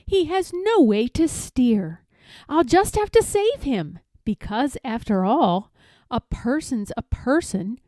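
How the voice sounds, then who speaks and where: A woman voicing a kind, protective male elephant in a children's storybook, speaking gently and sincerely with emphasis. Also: sits high, no echo, voice pushed up, exaggerated voice. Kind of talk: children's storybook read-aloud.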